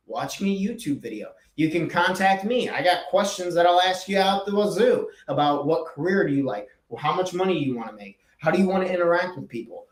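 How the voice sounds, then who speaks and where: An earnest man talks through motivational advice in a casual monologue, leaning hard on repeated words. His voice sits a little high. The room around him is echoey. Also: darkish tone. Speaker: podcast host and life coach.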